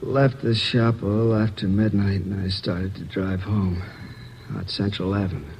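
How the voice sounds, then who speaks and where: An actor playing a shaken married man recovering in hospital after a robbery, talking in a halting, hesitant way. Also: lowish voice, fairly even intonation, a little mellow, lightly acted. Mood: weak, uneasy. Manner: hesitant, halting, stumbles over words. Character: shaken married male robbery victim, bedridden. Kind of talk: 1950s radio drama acting. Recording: dull old radio recording with faint hiss.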